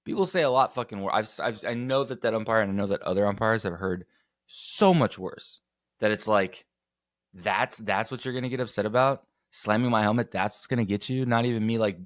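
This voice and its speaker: Man, podcast host, lowish voice a bit hoarse, chatty and self-correcting, dry home recording. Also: a little fast, no echo. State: slightly hoarse. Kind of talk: casual sports podcast talk.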